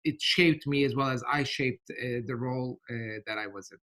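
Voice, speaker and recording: Man, Turkish accent, middle-aged, podcast guest, thoughtful, pauses often, mid-pitched voice. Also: sharp tone. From Turkey. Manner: measured, thoughtful, many hesitations. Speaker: interview guest. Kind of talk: podcast interview.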